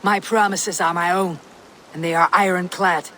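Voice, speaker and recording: A woman's voice: lowish voice, steady tone, normal speed, bright, forceful tone, slight background noise. An actress playing a proud, stubborn Viking warrior woman in a video game cutscene, speaking firmly and bluntly. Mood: defiant, resolute.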